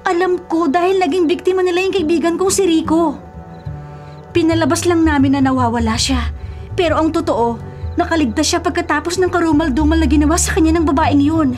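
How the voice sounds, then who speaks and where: Radio drama casting: furtive, insistent bearer of warnings, strikingly high voice. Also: female voice, steady tone, brisk, a little mellow, soft background music. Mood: insistent, grave. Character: urgent, secretive woman warning of danger.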